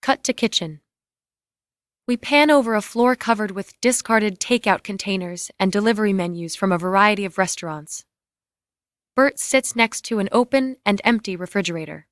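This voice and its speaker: Female narrator for a dramatic script reading, delivering scene directions, medium pitch, dry studio-like sound. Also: normal speed, fairly bright tone, no echo.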